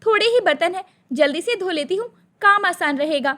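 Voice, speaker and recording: A woman's voice: extremely high pitch, expressive, brisk, sharp tone, full character voice. A woman playing a hardworking, harried young daughter-in-law in a dramatised family story, exclaiming theatrically. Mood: brisk. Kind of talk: dramatised Hindi moral-story acting.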